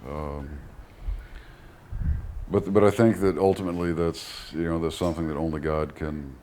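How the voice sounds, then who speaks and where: A man's voice: very deep voice, steady tone, normal speed, warm tone. A man speaking as an interview guest in a reflective way, thoughtful and hesitant, searching for his words. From United States, Texas. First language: English. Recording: audible background noise.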